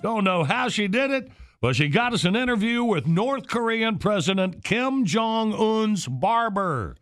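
A man's voice: high voice, animated, unhurried, fairly bright tone. A man hosting a morning radio show, bantering and laughing often. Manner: laughing, playful.

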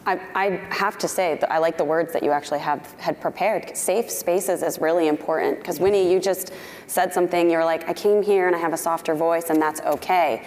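A woman's voice: fairly low pitch, fairly even intonation, brisk, darkish tone. A woman moderating a panel discussion, upbeat and outgoing as she puts questions to the speakers. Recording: hall reverb with faint noise.

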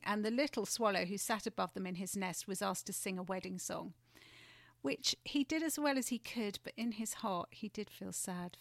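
Woman, storybook narrator, gentle expressive delivery, mid pitch, dry close sound. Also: no echo. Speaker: guest storyteller.

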